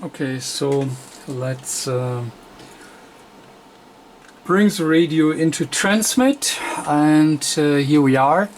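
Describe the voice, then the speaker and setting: Slow, halting man with a voice. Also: a little high, a little hiss or hum. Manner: halting, hesitant delivery in short broken phrases. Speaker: radio repair technician presenting a tutorial. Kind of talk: unscripted bench demonstration commentary.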